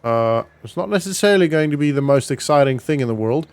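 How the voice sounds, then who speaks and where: Male gaming vlogger, smooth clear voice, close dry sound, informal think-aloud roleplay commentary. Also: fairly high pitch, expressive, fast, a little mellow, dry recording. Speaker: gaming vlog host. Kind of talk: casual roleplay gameplay commentary.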